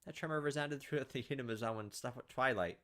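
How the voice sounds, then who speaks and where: A man's voice: medium pitch, fast talker, a bit bright. A young man reading a visual novel aloud as a let's player, with casual, wry commentary.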